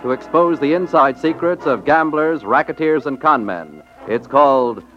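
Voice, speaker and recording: A man's voice: a little high, moderate pace, soft background music. An American man announcing from a script in a formal, polished 1950s radio style. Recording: muffled 1950s radio recording.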